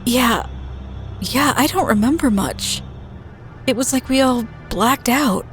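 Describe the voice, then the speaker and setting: An actress playing a troubled, drowsy woman confiding haltingly in naturalistic audio drama. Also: a little high, soft background music. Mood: troubled, groggy. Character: troubled, hesitant woman confiding in a close friend.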